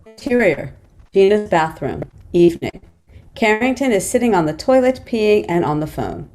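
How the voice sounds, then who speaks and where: A woman's voice: mid-range voice, sing-song, moderate pace, a little mellow, slight background noise. A woman reading screenplay stage directions as scripted narration.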